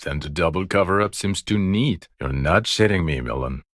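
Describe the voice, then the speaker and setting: An actor playing a world-weary, fed-up police detective in a video game, profane and sneering, with deadpan sarcasm. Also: male voice, sits low, normal speed, no room sound, studio quality. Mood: impatient, questioning.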